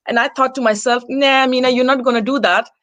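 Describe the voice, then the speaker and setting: Higher-voiced woman from Denmark. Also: steady tone, a little fast, a bit bright, dry recording. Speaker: interview guest. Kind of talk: personal account in casual interview.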